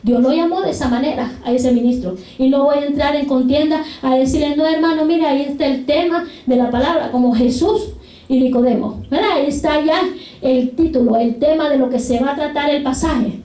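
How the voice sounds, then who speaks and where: A woman preaches with fervor, her voice pitched high and peppered with repeated praise. She sits back from the microphone, and the room rings with a strong echo around her. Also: brisk, warm tone, recorded from a distance. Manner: fervent, repeats hallelujah and glory to God. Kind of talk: sermon.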